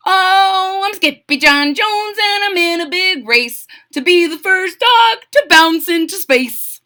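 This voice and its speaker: A woman voicing an excitable, imaginative little boy kitten in a storybook, with exuberant, dramatic exclamations. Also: very high voice, slow, pitched above the performer's own voice, heavily acted. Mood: excited, boastful.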